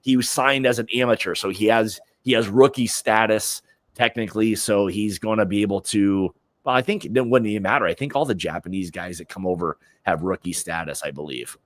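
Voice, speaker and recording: A man with an American accent and a fairly low voice hosts a casual sports chat. He is opinionated and slangy, speaking informally. The sound is dry, with little room on it. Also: a little fast, fairly bright tone, no echo. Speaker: host of a sports prediction livestream.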